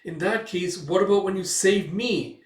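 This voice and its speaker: Man voicing an excitable little male elf, raised pitch. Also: moderate pace, lightly acted. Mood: pained, earnest. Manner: exclamatory, emotional, inquisitive. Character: chatty, excitable, tender-hearted little male winged elf. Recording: roomy, distant microphone.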